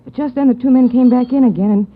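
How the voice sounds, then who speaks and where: An actress playing a shaken young woman recalling a crime she witnessed in a 1940s radio drama, halting and stammering. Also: fairly high pitch, background noise, lightly acted. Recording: thin phone-like 1940s radio.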